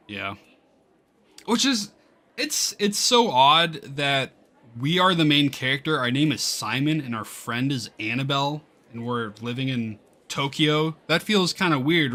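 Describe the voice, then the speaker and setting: A man hosting a gaming video, giving casual let's-play commentary in a rambling, halting way and often interrupting himself. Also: mid-range voice, pushed and bright. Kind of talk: casual let's-play commentary, reading game text aloud.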